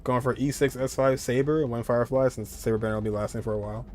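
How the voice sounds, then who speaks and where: A man's voice: medium pitch, steady tone, very fast, a little mellow, soft background music. A man live-streaming a game, chatting casually and rambling as he answers viewers, often repeating his phrases.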